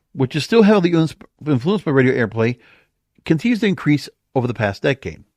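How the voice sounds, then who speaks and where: Animated man hosting a podcast, dry sound. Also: fairly high pitch, dry recording. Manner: conversational, emphatic, partly reading aloud. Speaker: podcast host. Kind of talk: opinionated music industry commentary.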